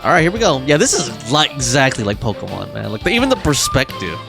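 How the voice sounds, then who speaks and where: A woman's voice: very deep voice, big pitch swings, fast, gravelly. A woman streaming a game with casual commentary, sometimes reading the on-screen text aloud. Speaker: gaming streamer. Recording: game music behind the voice. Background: video game music.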